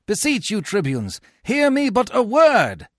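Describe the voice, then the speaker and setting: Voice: medium pitch, very animated, a little slow, a bit bright, dry recording, studio quality, voice pushed up. A reader voicing an agitated Roman patrician peacemaker who implores, in a theatrical Shakespeare read-aloud. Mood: pleading.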